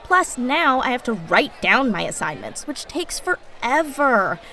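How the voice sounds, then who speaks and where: A woman's voice: high-pitched, very animated, a little slow, sharp tone, heavily acted. A woman playing a sassy, skeptical twelve-year-old schoolgirl with a wry, dramatic delivery in an audio drama. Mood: indignant. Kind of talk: scripted children's audio drama.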